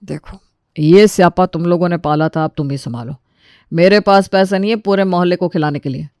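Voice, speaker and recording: A woman's voice: fairly low pitch, a little fast, darkish tone, clear, resonant tone, dry recording, studio quality, lightly acted. A woman voicing an irritable, stingy husband in a children's cartoon story. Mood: angry.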